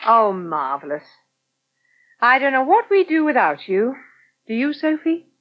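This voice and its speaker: A woman's voice: on the high side, sing-song, a little slow. An actress playing a polite, gracious English woman in charge at a restaurant in a 1980 British radio soap, courteous and emphatic. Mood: grateful, surprised. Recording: dull, band-limited old radio recording.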